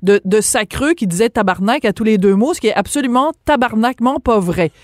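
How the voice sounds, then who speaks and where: A woman's voice: a little high, fairly quick, no room sound, studio quality. A woman born in France hosting a radio interview, direct and teasing in manner. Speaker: host.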